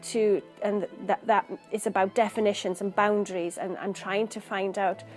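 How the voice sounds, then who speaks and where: A woman's voice: mid-range voice, little pitch movement, moderate pace, a little mellow, soft background music. A woman poet from Wales, talking about her work in an earnest, thoughtful interview, searching for words as she goes. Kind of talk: reflective interview talk.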